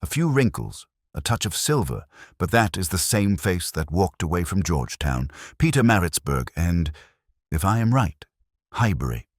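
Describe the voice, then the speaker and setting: Male narrator as grave Victorian detective, extremely low voice, precise and formal, animated delivery, storybook dialogue. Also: normal speed, no echo, clean studio sound, exaggerated voice. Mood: dry, watchful. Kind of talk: audiobook character dialogue.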